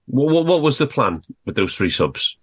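A man's voice: mid-range voice, sing-song, normal speed, a bit bright, breathy edge. A man hosting a casual football discussion, steering the conversation with questions and prompts. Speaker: podcast host.

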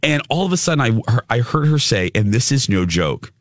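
A male radio host telling a story in casual chat, with a loud, big voice and a habit of cussing. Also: medium pitch, very animated, fairly quick, no room sound, studio quality. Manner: swears casually.

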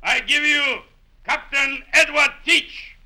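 Shrill, shouting pirate crewman. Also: exaggerated voice. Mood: proud, rousing. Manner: shouting, drawn-out proclamations. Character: excitable male pirate crewman, loud and adoring of his captain. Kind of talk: 1950s radio drama acting. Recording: noisy old radio, off mic.

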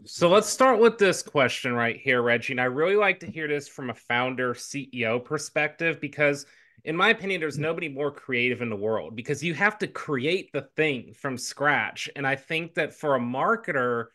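A man's voice: fairly high pitch, lively intonation, moderate pace, bright, forceful tone. A man co-hosting a podcast in casual chat, upbeat and chatty, a little nasal from allergies. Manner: upbeat, chatty, lots of fillers. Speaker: podcast co-host.

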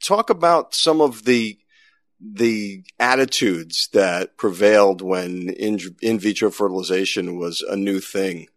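Man hosting podcast, slightly low voice. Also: a little slow, no echo, studio quality. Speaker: podcast host and interviewer. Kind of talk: scripted intro and conversational interview.

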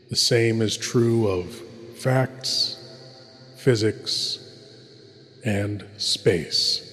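A man narrating theatrically as a horror host, ominous and full of dramatic pauses. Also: on the low side. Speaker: horror host introducing old-time radio. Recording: long hall-like reverb.

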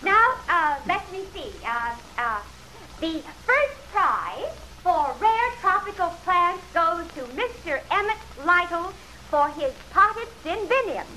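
A woman's voice: very high-pitched, very animated, slow pace, sharp tone, full character voice, poor audio. An actress playing a gushing, fluttery society lady making formal announcements in an old-time radio drama. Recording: vintage radio recording with background noise.

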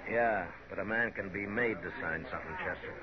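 Brooding American frontier marshal, played by a man, very deep male voice, 1950s radio western, dull vintage sound. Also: fairly quick, close to an everyday voice. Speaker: lead actor in a western radio serial. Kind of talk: 1950s radio drama acting. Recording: muffled old 1950s radio, crowd behind.

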